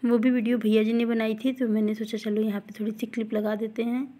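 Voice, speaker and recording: A woman, around her thirties, chats through a casual vlog voice-over. Her voice sits fairly high but is very soft-edged and mellow. The melody stays quite flat as she speaks directly to viewers, often circling back over the same point. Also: quick pace. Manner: chatty, repetitive, addresses viewers directly. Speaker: vlogger.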